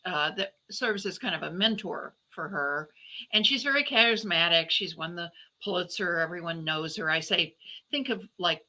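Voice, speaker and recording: A woman's voice: lowish voice, sharp tone, low-quality recording. A woman novelist answering interview questions in a conversational, explanatory way.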